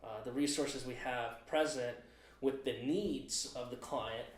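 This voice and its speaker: A man's voice: medium pitch, steady tone, a little slow. Rambling young man in a roomy space. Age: 20s. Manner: rambling, thinks aloud with many fillers. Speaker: podcast host. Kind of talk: casual chat. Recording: roomy echo.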